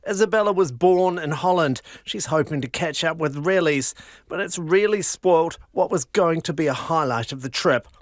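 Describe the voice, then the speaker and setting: Male reporter, measured scripted read, slightly high, dry booth. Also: no echo. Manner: crisp, measured news delivery.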